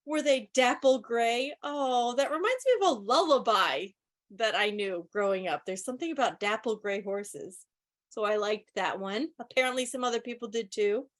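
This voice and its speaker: High-voiced woman hosting casual trivia. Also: moderate pace, fairly bright tone. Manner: wry, joking asides. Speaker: quiz host. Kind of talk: casual live trivia commentary. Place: online video meeting.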